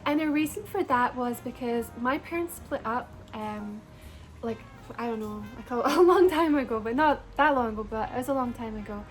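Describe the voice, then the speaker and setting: A young woman with a soft Scottish lilt that has picked up some American edges speaks in a bright, high register. She rambles casually to her viewers, full of likes and little laughs, over faint music underneath. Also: lively intonation, moderate pace. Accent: soft Scottish, partly Americanized. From Scotland. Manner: chatty, frequent likes and ums, laughs between words. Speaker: vlogger. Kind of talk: casual personal storytime.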